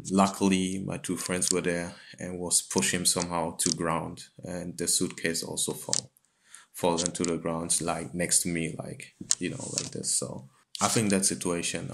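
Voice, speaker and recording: Man, deep voice, personal account, faint-to-moderate noise behind. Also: gravelly, a bit bright. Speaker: interviewee. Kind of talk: personal testimony.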